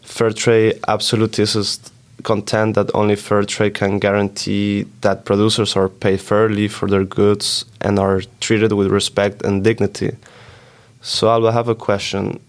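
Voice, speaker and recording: Low, flat-voiced young Swiss-born man. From Switzerland. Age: young adult. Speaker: podcast co-host. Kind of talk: student podcast, explaining terms.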